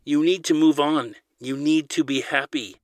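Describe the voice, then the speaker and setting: A young woman from an audiobook, coaxing and caring, played by a man. She speaks in a raised pitch with a playful but insistent manner. The recording is very dry. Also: fairly quick, fairly bright tone, no echo, lightly acted. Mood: pressing. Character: playful, caring, firm young woman, prince's trusted friend. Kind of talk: audiobook character dialogue.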